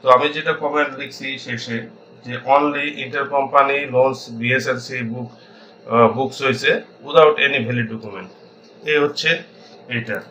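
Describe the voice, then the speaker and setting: A man with a Bangladeshi accent explaining as an accounting instructor in an informal tutorial, haltingly thinking aloud and mixing in English terms. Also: mid-range voice, steady tone, sharp tone, dull audio.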